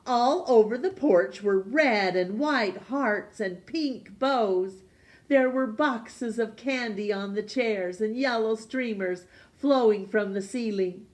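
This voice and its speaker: Slow, gentle woman reading a storybook. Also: a little high. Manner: gentle, slow and expressive, voicing characters. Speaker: teacher reading aloud. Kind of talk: children's storytime read-aloud.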